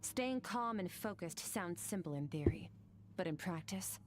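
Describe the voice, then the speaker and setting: Calm female game mentor, low and measured, faint hiss behind. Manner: calm, instructive.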